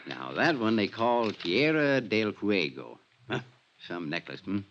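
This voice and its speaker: Big folksy insurance man, 1950s radio: American, emphatic, excitable, swooping low voice, dull old recording. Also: unhurried, background noise. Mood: genial, rueful. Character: big, folksy, talkative middle-aged male insurance man. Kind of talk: 1950s radio drama acting.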